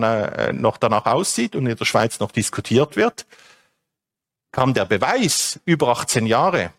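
A man explains a legal and technical topic step by step, with frequent hesitations. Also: medium pitch. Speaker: speaker on data protection. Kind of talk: explanatory talk.

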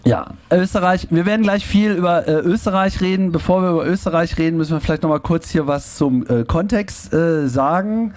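A man's voice: a little high, fairly quick, hardly any pauses. A man hosting a podcast on stage before a live audience, chatting casually and joking a lot. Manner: joking, laughs often, lively delivery. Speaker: podcast host.

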